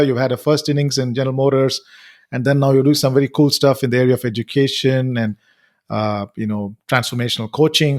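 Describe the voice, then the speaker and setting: A man's voice: mid-range voice, a little mellow. A man hosting a podcast interview, speaking hesitantly with many uhs and you knows. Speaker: podcast host. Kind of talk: casual interview.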